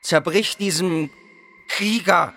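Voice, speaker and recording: An actor playing an indignant aged father in a radio play, declaiming in a halting, theatrical way. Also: high voice, vocal fry, bright, forceful tone, exaggerated voice. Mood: proud rebuttal. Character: Amphitryon, indignant aged father defending his heroic son. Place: home, online video call. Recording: noisy home recording.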